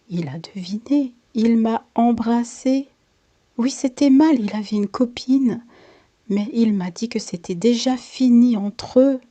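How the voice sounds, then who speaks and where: Dark, mellow-voiced woman narrating, very dry sound. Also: medium pitch, no echo. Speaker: narrator of a personal life story. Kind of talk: scripted first-person narration.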